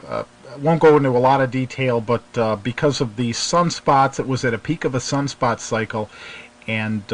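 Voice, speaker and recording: A man hosting a radio show in casual chat, speaking haltingly and often circling back over his phrases. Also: medium pitch, a bit bright. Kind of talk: casual radio chat about shortwave hobby.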